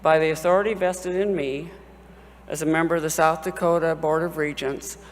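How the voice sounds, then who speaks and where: A woman's voice: deep voice, fairly even intonation, normal speed. A woman who is a board of regents member gives a formal, dignified address while conferring degrees in a large ceremony hall. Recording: long hall reverb, faint background noise.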